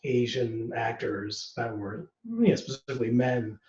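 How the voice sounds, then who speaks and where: A man's voice: mid-range voice, a little slow. Man in his forties, roomy muffled audio. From United States. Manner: relaxed, rambling, many "you know" and "um" fillers. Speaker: actor, podcast guest. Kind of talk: casual interview chat.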